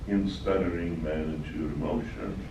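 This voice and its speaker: An older man presenting at a talk, answering questions in a slow, measured way with pauses. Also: sits low, fairly even intonation, gravelly. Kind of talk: Q&A session at a talk. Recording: echoey room from far off, dull and noisy.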